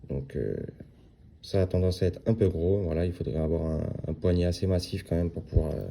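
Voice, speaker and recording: A man's voice: low voice, fairly even intonation, fairly quick, creaky, warm tone. A man in his thirties giving a casual, hesitant unboxing review of a watch, with many fillers and restarts. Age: 30s.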